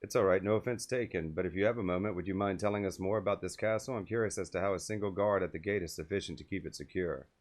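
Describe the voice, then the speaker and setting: A man's voice: low voice, fast talker, few pauses, lightly acted. A man voicing a proud, polite and curious female serpent-folk traveler in a game.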